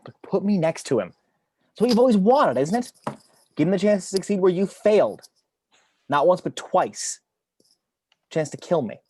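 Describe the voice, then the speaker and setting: A man's voice: high voice, very animated, moderate pace, pauses often, a little mellow, breathy edge, dry recording, heavily acted. A man playing a tense, driven criminal investigator in a dramatic table read, terse, insistent and controlled.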